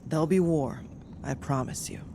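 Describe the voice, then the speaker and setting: A man playing a brisk, candid foreign-born carpenter who leads a travelling crew in a video game cutscene. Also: on the high side, vocal fry, noisy background. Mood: earnest. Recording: game music behind the voice.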